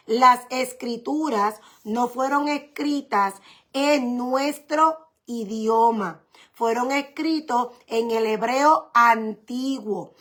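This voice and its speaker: A woman pastor giving a didactic sermon, explaining things deliberately and repeating key points for emphasis. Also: a little high, fairly bright tone.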